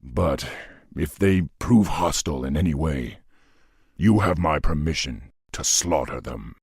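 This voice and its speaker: An actor playing a stern, commanding orc war chief in a video game, authoritative and deliberate, with a gravelly, husky voice. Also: male voice, a bass voice, lively intonation, a little mellow, no room sound, studio quality, full character voice.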